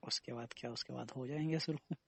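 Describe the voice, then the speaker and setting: Man playing a gravelly, husky young boyfriend. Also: medium pitch, fairly even intonation, brisk, a bit bright. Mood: calm, teasing. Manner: evasive, teasing, casual. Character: teasing young boyfriend dodging blame over a pregnancy scare. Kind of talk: staged phone-call romance drama in casual chat.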